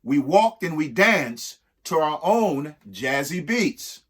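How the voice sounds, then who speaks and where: A husky-voiced man reading a rhyming picture book aloud expressively, emphatic, with pauses between short phrases. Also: fairly high pitch, sing-song, fairly bright tone. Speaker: reader of a children's picture book. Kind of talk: expressive read-aloud of a rhyming alphabet book with spoken asides.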